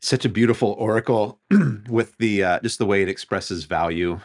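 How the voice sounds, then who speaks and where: Wry low-voiced man on a video call. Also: normal speed. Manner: wry, easygoing. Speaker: seminary professor and podcast co-host. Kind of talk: casual conversational discussion. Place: home room, indoors. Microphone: webcam on video call.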